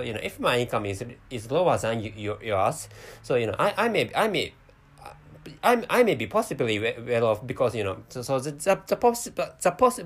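A man's voice: mid-range voice, fairly bright tone. A man with a Japanese accent hosting a solo podcast, talking with a stutter and many restarts. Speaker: podcast host.

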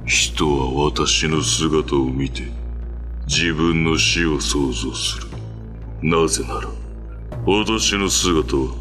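Menacing demon, deep heavy voice, slow imperious delivery, radio drama, faint music behind. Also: male voice, a bit bright, exaggerated voice. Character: imposing red male demon, commanding, brooding drinker. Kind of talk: radio drama acting of a ghost tale.

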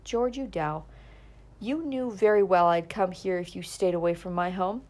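A woman's voice: fairly low pitch, normal speed, darkish tone, close to an everyday voice. A woman voicing a determined, persistent young woman who talks in an earnest, coaxing way.

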